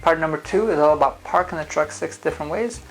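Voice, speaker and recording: Non-native English-speaking man, driving instructor type, relaxed explainer with faint music under him. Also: fairly high pitch, quick pace, low-quality recording. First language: not English. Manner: conversational, explains step by step with frequent "uh" fillers. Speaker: driving school founder and instructor. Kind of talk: casual explainer video.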